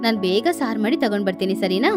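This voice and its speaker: A woman's voice: mid-range voice, fast, pitched below the performer's own voice, close to an everyday voice. A woman voicing a devoted, protective mother sparrow in a children's bedtime story, earnest and motherly. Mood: reassuring. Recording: music behind the voice.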